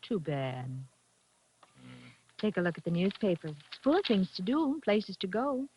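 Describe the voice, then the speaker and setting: A woman's voice: mid-range voice, sing-song, measured pace, a little hiss or hum. An actress playing a breezy, flirtatious American woman in 1940s radio drama style. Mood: playful, coaxing. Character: breezy, flirtatious American female companion of investigator. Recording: muffled vintage 1940s radio recording.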